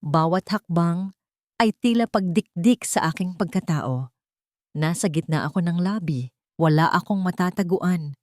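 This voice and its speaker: Woman doing emotive audio drama narration, lowish voice, really dry close sound. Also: unhurried, a little mellow, dry recording. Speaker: narrator of audio love story. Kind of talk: dramatic scripted first-person narration.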